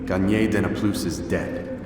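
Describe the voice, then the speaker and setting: An actor playing a stern, questioning assassin in a game cutscene, speaking in a terse, blunt way. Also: male voice, sits low, a little slow, background noise. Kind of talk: video game cutscene acting. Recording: echoey hall, music underneath.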